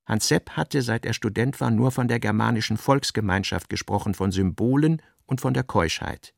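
A man giving a scripted literary narration, reading out notes and drafts in deliberate fragments with pauses. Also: lowish voice, vocal fry, no echo, clean studio sound. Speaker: radio drama narrator.

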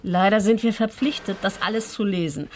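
A woman playing a brisk, bossy and chatty middle-aged tax office clerk. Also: mid-range voice, a little hiss or hum, natural voice.

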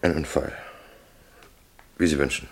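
A mob subordinate, very deep voice, terse and obedient reporting, 1960s radio play. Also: male voice, a little slow, breathy edge, exaggerated voice. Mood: businesslike, grim. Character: crime syndicate underling delivering a grim report.

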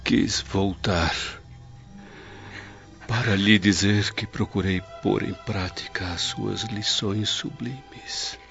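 An actor playing a dying, repentant older Roman nobleman in a radio drama, weak, breathy and faltering, with solemn, pleading delivery. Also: on the low side, fairly even intonation, slow pace, fairly bright tone, exaggerated voice. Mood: remorseful confession. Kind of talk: old radio drama acting. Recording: dull lo-fi radio drama with music.

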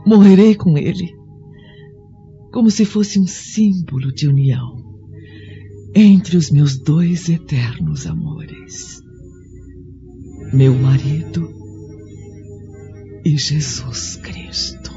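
A woman playing a gentle, devout Roman noblewoman in prison, who speaks slowly and solemnly in a radio drama. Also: deep voice, wildly swooping pitch, heavily acted. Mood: tender. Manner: slow, solemn and tender. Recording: dull lo-fi recording with music. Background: soft music score.

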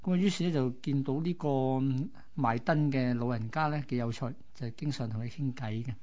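A man's voice: mid-range voice, normal speed, warm tone. A man with a Hong Kong accent, a co-host telling stories conversationally in short, colloquial explanatory phrases. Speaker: radio co-host. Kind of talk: conversational storytelling.

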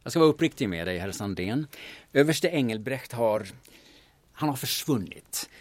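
A blunt investigator from the capital played by a man in a radio drama. He is curt and insinuating, with a middling pitch that moves sharply up and down as he presses and threatens. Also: expressive, close to an everyday voice. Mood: probing.

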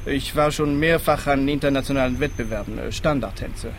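A man's voice: medium pitch, brisk, slight background noise, close to an everyday voice. A man playing a hard-up used car salesman in a radio play, chatty and candid with eager sales patter. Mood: candid, rueful.

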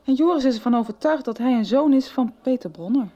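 A hesitant, concerned friend in a radio soap. Her voice sits slightly high yet mellow. The sound is a bit dull. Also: animated, quick pace. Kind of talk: 1990s radio soap acting, conversational. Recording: dull 1990s radio recording.

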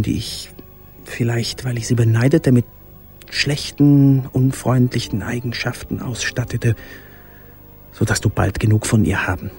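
A despairing, yearning man speaks a radio monologue in a dark, creaky voice. His phrasing is measured and literary, full of anguish, over faint music. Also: on the low side. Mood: brooding. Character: despairing man in hiding, yearning for a lost companion.

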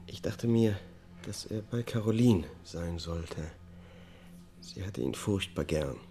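A slow, low-voiced haunted confessing man. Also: animated, mellow, heavily acted. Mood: subdued, haunted. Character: haunted male murder defendant confessing, broken and detached. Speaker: actor in a radio drama. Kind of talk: German public radio drama acting, confessional testimony.

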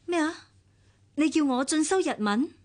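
An actress playing a wounded, bitter office secretary in a radio drama, with a Hong Kong Cantonese accent. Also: female voice, high-pitched, lively intonation, normal speed, a little mellow, big-room sound. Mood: hurt.

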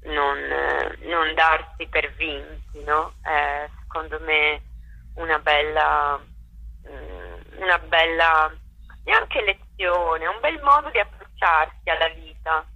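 A woman's voice: low voice, takes it slowly, gravelly, sharp tone, low-quality recording. A woman talking casually and effusively in an interview as a guest, searching for words and checking she is followed. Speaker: guest, actress presenting a stage show. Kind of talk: casual spontaneous interview talk.

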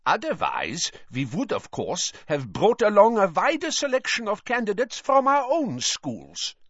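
A man's voice: high voice, huge pitch swings, unhurried, fairly bright tone, no room sound, muffled recording, pitched above the performer's own voice, full character voice. A man doing an oily, scheming headmaster. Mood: silky. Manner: unctuous, with a steely smile.

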